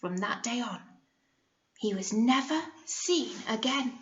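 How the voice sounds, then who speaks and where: A woman's voice: a little high, lively intonation, takes it slowly. A woman, a teacher reading a storybook expressively to young children and prompting them with simple questions. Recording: echoey room, slightly muffled.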